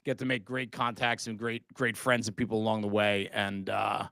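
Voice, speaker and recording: A man's voice: fairly low pitch, little pitch movement, moderate pace, vocal fry, bright, forceful tone, dry recording, clean studio sound. A middle-aged man talking casually and passionately on a live stream, with an emphatic, buddy-like manner. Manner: earnest, passionate, emphatic, says "man" and "bro". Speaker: guest, fire service podcaster.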